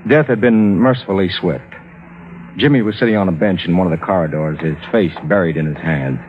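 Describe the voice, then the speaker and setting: An actor playing a sombre friend who narrates a vintage radio drama. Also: male voice, low-pitched, fairly quick, natural voice. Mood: sombre, consoling. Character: sombre male friend narrating a strange tale. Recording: tinny old radio recording, loud music behind.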